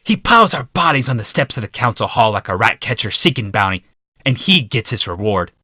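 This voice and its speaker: A man voicing a young, bitter rebel leader haranguing a mob in a shouted, oratorical audiobook performance. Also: mid-range voice, sing-song, fairly quick, no echo, studio quality. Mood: bitter, impassioned. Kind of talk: audiobook character voice.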